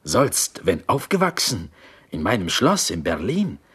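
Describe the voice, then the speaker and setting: An actor playing a wistful Greek man in a radio play, telling his story in halting broken German with a Greek accent and a husky, breathy voice. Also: lowish voice, huge pitch swings, fairly bright tone, heavily acted. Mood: proud, reverent.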